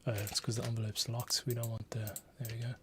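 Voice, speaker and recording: A man giving a casual screencast tutorial, thinking aloud and muttering to himself with pauses as he works. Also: mid-range voice, flat delivery. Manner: muttering to himself, pausing while working. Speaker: composer, streamer host. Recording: faint noise, keyboard and mouse clicks. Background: typing, mouse clicks.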